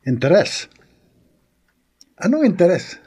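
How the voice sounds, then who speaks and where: An actor playing a cocky, greedy gambler in a horror radio drama, with a brash, questioning manner. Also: male voice, sits high, very animated, very slow, warm tone, breathy edge, heavily acted. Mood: puzzled. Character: cocky, greedy male gambler, wary of bargains.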